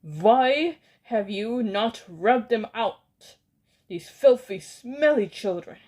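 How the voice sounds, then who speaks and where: A woman's voice: on the low side, measured pace, darkish tone, natural voice. A woman reading a storybook aloud and voicing a furious, domineering female witch leader who shrieks orders in stilted, oddly worded speech. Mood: ranting.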